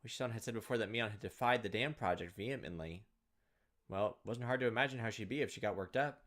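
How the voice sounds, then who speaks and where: A man's voice: lowish voice, fast talker, a bit bright. Man reading a visual novel aloud, dramatically.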